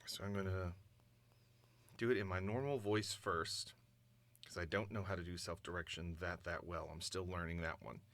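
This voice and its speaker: A man's voice: deep voice, fairly even intonation, normal speed, fairly bright tone. A man chatting casually and thinking aloud as an amateur voice actor in a recording booth.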